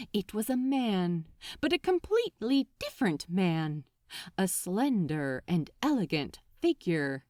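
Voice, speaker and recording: Woman, dramatic fiction narration, theatrical, deliberate pacing, wide swooping intonation, very dry close sound. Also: a little high, a little mellow, no room sound. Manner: theatrical, deliberate, suspenseful phrasing. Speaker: audiobook narrator.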